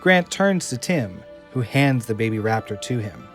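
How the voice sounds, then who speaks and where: A man's voice: mid-range voice, big pitch swings, moderate pace. A man reading scripted screenplay narration for an audio drama. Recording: music under the voice.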